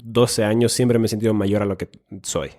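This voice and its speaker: A man in his late twenties from Finland talks as co-host of a casual podcast. His voice is lower than most men's. He speaks with dry, self-mocking humor. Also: steady tone, a little fast, darkish tone. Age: 20s.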